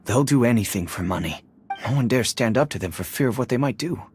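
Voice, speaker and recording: Man doing calm father, level voice, measured, soft hiss behind. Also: mid-range voice, close to an everyday voice. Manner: soothing, measured. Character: steady-minded father, calm villager.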